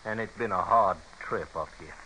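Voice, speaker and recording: A man's voice: deep voice, moderate pace, bright, forceful tone, poor audio. A domineering rancher snaps out his lines in a tense, cutting voice. His accent is rural American and his manner mean and defensive. It sits on a faintly hissy old radio drama recording. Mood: defensive, edgy. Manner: snappish, domineering, evasive. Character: mean, bullying, defensive young rancher son. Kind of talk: 1950s radio western acting.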